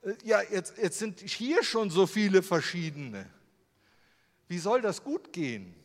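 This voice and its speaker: German man, preacher, animated voice, a bit higher pitch, long hall reverb, rhetorical questions. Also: unhurried. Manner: conversational, asks rhetorical questions. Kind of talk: sermon with slides.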